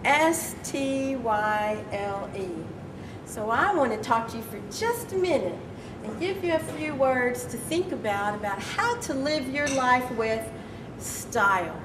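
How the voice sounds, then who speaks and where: A woman with a slightly raspy, somewhat high voice gives an encouraging keynote that draws the crowd in. She sounds a little off-mic. Her voice carries through a large, echoing conference hall. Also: expressive, slow, noisy background. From Texas. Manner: encouraging, chatty, drawing the audience in. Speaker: keynote speaker. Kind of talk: motivational speech to a live audience. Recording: echoey hall, voice from a distance.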